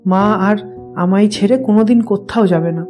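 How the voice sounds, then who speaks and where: Innocent village child played by a woman, mid-pitched, mellow and unforced, simple earnest storytelling for horror audio drama, light music underneath. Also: normal speed, lightly acted. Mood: wistful, trusting. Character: innocent young village boy confiding eerie dreams of his dead mother. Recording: music bed behind the voice.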